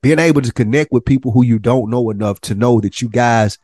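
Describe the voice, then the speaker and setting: A man's voice: medium pitch, brisk, darkish tone, dry recording, studio quality. A man co-hosting a podcast in casual conversation, with informal, streetwise phrasing. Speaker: podcast co-host. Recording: voice over faint music bed. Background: faint music.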